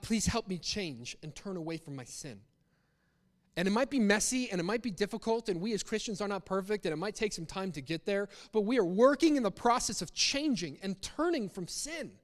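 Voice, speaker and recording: A man delivers a sermon in a notably high voice, with long reverb trailing his words. He talks conversationally yet with emphasis, restating phrases to drive each one in. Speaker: preacher. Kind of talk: sermon with acted-out example quotes.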